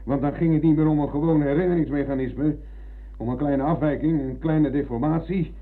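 An actor playing a proud, touchy older comrade and former prisoner of war, insistent and emphatic, in a Dutch radio drama. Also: male voice, fairly high pitch, steady tone, fairly quick, close to an everyday voice. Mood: indignant. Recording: muffled, dull old radio recording.

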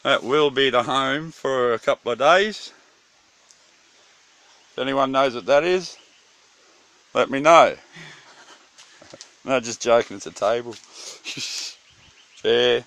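A man's voice: mid-range voice, slow, pauses often, fairly bright tone. An Australian man giving a casual, slangy, joking camcorder-style tour outdoors, swearing freely as he goes. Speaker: camper filming a campsite tour. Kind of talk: casual handheld video tour. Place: outdoors at a bush campsite. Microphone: handheld phone or camera. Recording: outdoor handheld recording with clear background noise.

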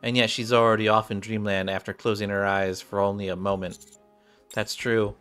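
A man's voice: fairly low pitch, level tone, moderate pace, fairly bright tone, clean tone. A man in his thirties streaming a game and reading its dialogue aloud in a room at home. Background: game music, keyboard typing.

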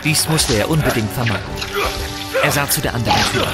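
A man's voice: mid-range voice, brisk. A man narrating a scripted fantasy audio drama in a theatrical, suspenseful storytelling manner. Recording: voice under loud music. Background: loud dramatic music.

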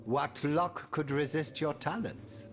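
A man's voice: on the high side, steady tone, slow pace, a little hiss or hum. An actor playing a pompous, silky statesman and schemer in a video game, theatrical, florid and courtly. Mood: suave, wry. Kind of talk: video game cutscene acting.

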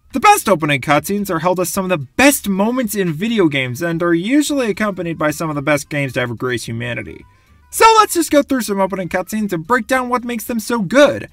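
A young man giving scripted, wry comic narration about video games in a casual, animated way. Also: high voice, no room sound. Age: young adult. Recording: dry voice-over with music behind.

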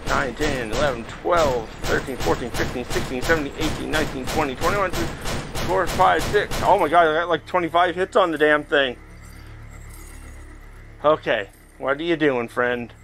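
A man with a fairly high voice gives casual commentary while actively playing a VR game. He chats away and thinks aloud like a host talking to his viewers, with music playing behind him. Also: normal speed, creaky. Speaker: gaming video host. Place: indoors, playing in a VR headset in front of a camera.

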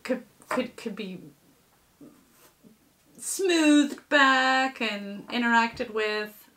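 A woman's voice: on the high side, drawn-out words, pushed and bright. A woman in her thirties presenting casually with playful, theatrical emphasis in a home kitchen. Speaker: puppeteer presenter. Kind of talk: casual explainer.

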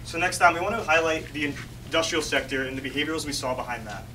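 A man's voice: fairly high pitch, fast talker, pushed and bright. A young man giving a rehearsed finance presentation, stressing the start of each point. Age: young adult. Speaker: student presenter. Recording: distant voice with room noise.

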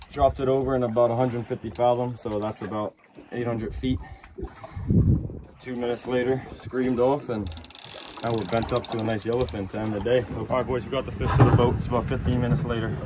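A young man talking casually and excitedly while filming a fishing trip on a boat, swearing freely. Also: mid-range voice, fairly quick. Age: young adult. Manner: excited, swears casually, calls people bro. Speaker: fishing vlogger. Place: open boat at sea, outdoors. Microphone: handheld phone camera. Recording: loud wind and boat noise.

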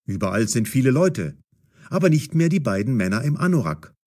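Expressive male storyteller, animated intonation. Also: mid-range voice, a little fast. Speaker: narrator. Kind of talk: scripted story narration for language learners.

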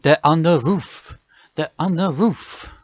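A young man, chatting excitably and teasingly with friends while gaming. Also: fairly high pitch, slow pace, highs cut off, background noise. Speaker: gamer. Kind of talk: casual gaming chat.

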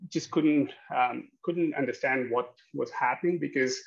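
A man's voice: mid-range voice, measured pace, vocal fry. A man from Pakistan in his thirties giving a webinar talk on a video call, hesitant with many fillers and restarts. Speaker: guest speaker, accounting educator. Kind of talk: webinar presentation. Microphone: video call webcam. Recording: dull lo-fi video call audio.

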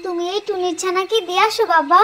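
A woman's voice: steady tone, fast, pushed and bright, a little hiss or hum. A woman voicing a small, kind-hearted ghost girl in a children's cartoon, childish, pleading and whiny. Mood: troubled, pleading. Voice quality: squeaky, childlike. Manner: wheedling, whiny.

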